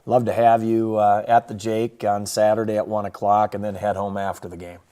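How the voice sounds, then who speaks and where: American guy, football coach, low even voice, kinda halting, chatting about the next game. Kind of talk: conversational game preview.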